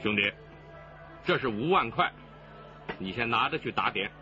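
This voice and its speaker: An actor playing an impatient, wary fugitive gang boss in a radio drama, curt, pushy and demanding. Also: male voice, medium pitch, lively intonation, moderate pace, pushed and bright, faint music behind. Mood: businesslike, pressing. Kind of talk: Chinese radio drama acting. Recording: dull band-limited radio recording.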